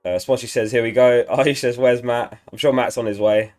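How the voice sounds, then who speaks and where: A man hosting a casual live stream, a little hoarse from losing his voice, chatting and reading out viewer messages. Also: mid-range voice, steady tone, moderate pace, a little mellow, faint music behind. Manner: reads out chat messages, chatty and upbeat. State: slightly hoarse, lost voice. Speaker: livestream host.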